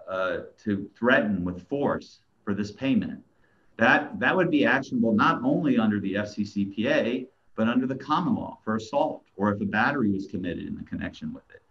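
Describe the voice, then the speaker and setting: A middle-aged man arguing an appeal as an attorney, stumbling over restarts before laying out measured legal points from a small room over a video call. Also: lowish voice, a bit bright. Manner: stammering restarts, then measured legal argument. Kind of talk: courtroom oral argument. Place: small plain room, indoors. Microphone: video call webcam. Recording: dull, lo-fi video call audio.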